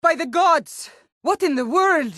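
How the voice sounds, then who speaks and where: A woman's voice: extremely high pitch, expressive, measured pace, sharp tone, no echo, studio quality, exaggerated voice. A woman playing a plain-spoken, sturdy Nord townswoman and guard in a fantasy video game, with a firm, direct manner. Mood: panicked.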